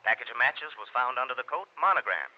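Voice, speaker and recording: A jocular, needling American police lieutenant acted by a man talks on the phone in an old radio drama. His middle-pitched voice swings widely as he teases. The recording is muffled. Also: expressive, fast talker, natural voice. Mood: dryly informative. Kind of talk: 1940s radio drama acting. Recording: muffled vintage radio, telephone sound.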